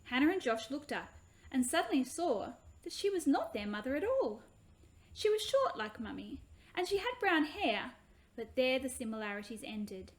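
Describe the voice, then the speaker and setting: A woman reading her own children's book aloud in an expressive, animated way. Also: high-pitched, normal speed.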